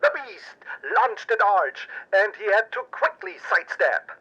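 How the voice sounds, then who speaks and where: Paranoid patriotic radio anchor, male actor, deliberate and sharp-edged, portentous storytelling, dull broadcast sound. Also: high-pitched, sing-song, husky, exaggerated voice. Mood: breathless, dramatic. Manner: dramatic, portentous delivery. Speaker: news anchor, narrator. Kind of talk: pulp radio drama news report.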